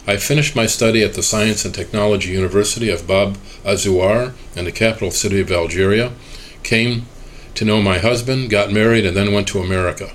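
Male reader, slightly low voice, measured and even delivery of a translated interview transcript, voicing both sides. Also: a little hiss or hum, poor audio. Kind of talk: reading a translated oral history transcript aloud.

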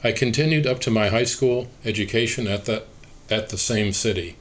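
A man with a somewhat low voice reads an interview transcript aloud, speaker labels included. His delivery is measured and even. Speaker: reader of an oral history interview transcript.

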